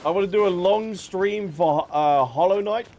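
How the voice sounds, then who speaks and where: A man's voice: high-pitched, moderate pace, vocal fry, darkish tone, slight background noise. A man giving casual, chatty livestream commentary on a game, talking straight to his viewers. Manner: chatty, addressing viewers directly. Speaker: game streamer. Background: game music.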